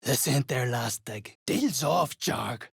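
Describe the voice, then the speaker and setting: Fantasy game duergar, gruff mercenary type: husky, creaky, threatening, coarse. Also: male voice, mid-range voice, lively intonation, slow pace, no room sound, clean studio sound, exaggerated voice. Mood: gruff, surly. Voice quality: gravelly, husky. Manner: coarse, blunt, barking orders. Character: gruff male duergar mercenary clan fighter, surly and threatening. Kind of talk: fantasy game character acting.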